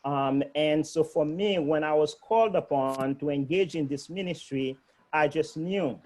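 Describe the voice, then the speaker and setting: A man's voice: fairly high pitch, measured pace, darkish tone. A middle-aged man from Haiti, a priest, earnestly thanking a church outreach team, with many ums and uhs.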